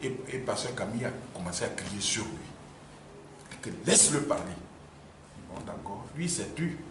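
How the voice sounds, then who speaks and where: Man, lively animated telling, far from the mic in a roomy space with ambient noise. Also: lowish voice, a little slow. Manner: animated storytelling with repetitions. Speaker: church member recounting a dispute. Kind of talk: spontaneous spoken account. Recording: echoey room, distant mic, background noise. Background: ambient room noise.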